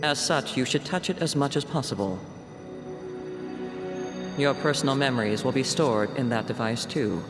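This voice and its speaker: An actor playing a solemn mentor in a video game, speaking in a formal, measured way. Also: male voice, medium pitch, lightly acted. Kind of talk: dramatic video game acting. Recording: echoey, long reverb, music underneath. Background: ambient music.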